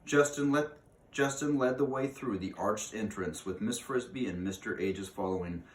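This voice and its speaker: A man with a fairly low voice reads a children's novel as narrator. He occasionally stumbles and rereads. The room is echoey and he sounds a bit away from the mic. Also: normal speed, distant mic, slight background noise. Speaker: read-aloud narrator. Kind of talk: reading a children's novel aloud.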